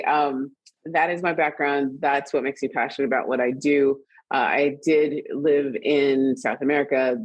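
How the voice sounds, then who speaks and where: A woman with a standard US accent, a guest in an interview, talking in an animated, chatty way and laughing often. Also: a bass voice, breathy edge, dry recording, dull audio. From Trinidad and Tobago and Costa Rica, raised internationally. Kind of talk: conversational interview.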